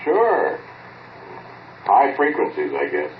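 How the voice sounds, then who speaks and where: A man playing a self-assured, terse inventor in 1940s radio drama style, brisk and matter-of-fact. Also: mid-range voice, huge pitch swings, full character voice. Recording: muffled, echoey, noisy 1940s radio recording.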